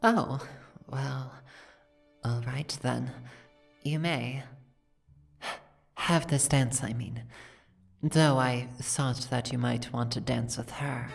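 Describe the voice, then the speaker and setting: A woman acts out a regal, reserved female dragon for an ASMR roleplay. Her voice is extremely low and creaky, and she speaks slowly, formally and guardedly. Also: steady tone, faint music behind, heavily acted. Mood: flustered.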